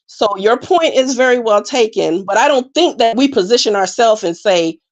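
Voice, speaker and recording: A woman, born in Jamaica and raised in the United States, speaking as she makes a long, earnest point in a live online panel discussion. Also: medium pitch. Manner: earnest, measured, speaks at length. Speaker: panel participant.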